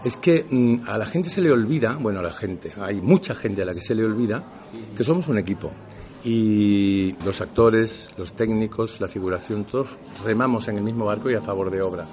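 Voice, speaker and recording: Lively middle-aged Sevillian actor, lowish voice. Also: male voice, normal speed. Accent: neutral Castilian Spanish for work, Andalusian at home. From Seville, Spain. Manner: animated storyteller, acts out quoted dialogue. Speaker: actor, interview guest. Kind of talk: casual interview talk.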